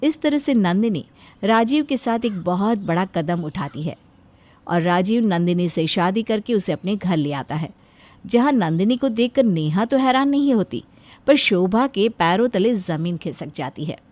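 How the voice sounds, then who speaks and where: A woman narrating an animated moral story as a scripted storyteller. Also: mid-range voice, unhurried.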